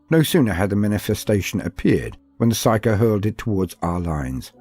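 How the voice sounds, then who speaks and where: A man reading scripted lore as a theatrical storyteller, with dramatic pauses and asides. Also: on the low side, dark tone, slightly rough. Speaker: narrator of a lore guide.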